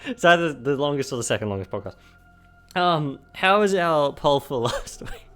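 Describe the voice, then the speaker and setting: A young man co-hosting a casual gaming podcast, giggling and rambling with lots of fillers while his voice is going hoarse. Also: a little high, sing-song, normal speed, a bit bright. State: losing his voice. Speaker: podcast co-host. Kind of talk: casual chat.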